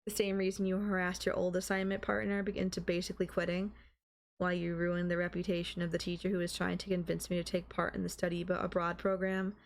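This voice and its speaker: A woman playing a doting, obsessive and calmly manipulative girlfriend in an intimate ASMR audio roleplay, coaxing and softly teasing. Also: lowish voice, fast talker, creaky. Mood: knowing, coaxing. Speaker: voice actor.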